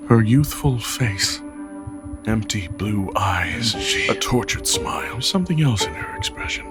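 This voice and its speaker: An actor playing an anxious, self-loathing fantasy man in an audio drama, muttering to himself and often slipping into breathy whispers. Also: fairly low pitch, expressive, unhurried. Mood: anguished, brooding. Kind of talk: audio drama inner monologue. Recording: music behind the voice. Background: loud music.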